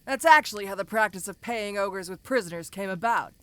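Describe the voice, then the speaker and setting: A woman's voice: medium pitch, a little fast, no echo, lightly acted. A woman voicing a domineering giant ogre mercenary in an audio roleplay, speaking to the listener in a casual, commanding, teasing way. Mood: matter-of-fact. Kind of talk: first-person audio roleplay addressed to the listener.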